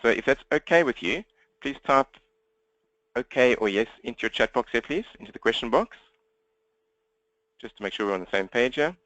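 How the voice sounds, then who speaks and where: A man's voice: mid-range voice, animated, a bit bright, no echo, dull audio. A man with a South African accent giving a live webinar training from his laptop, upbeat and direct. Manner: fast-talking, upbeat and direct. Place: at home desk speaking to laptop.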